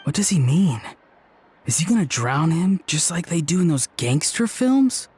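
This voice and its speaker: Chatty, high-voiced naive youngster. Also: male voice, very animated, normal speed, a little mellow, slightly rough, full character voice. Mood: puzzled. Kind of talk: video game voice acting.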